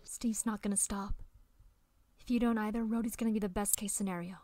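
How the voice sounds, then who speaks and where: A woman's voice: mid-range voice, fairly even intonation, fairly quick, mellow. A woman dubbing a guarded, steely female spy with buried tenderness in an amateur dramatic film fandub. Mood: firm, cool. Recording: music behind the dubbed voice.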